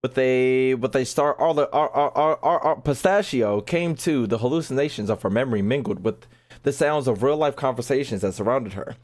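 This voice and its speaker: A man's voice: mid-range voice, moderate pace, darkish tone. A man livestreaming a video game, chatting casually with slang and swearing, often repeating himself and stumbling over words. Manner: stumbles often when reading aloud; slangy, profane, repeats phrases emphatically. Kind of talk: casual livestream commentary, reading game text aloud and chatting.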